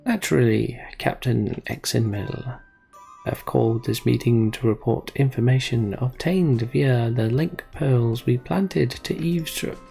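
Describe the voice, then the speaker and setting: A dutiful military engineer in a fantasy game, played by a man, reports in a very creaky voice over music. The delivery is formal and earnest. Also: mid-range voice, unhurried, dark tone.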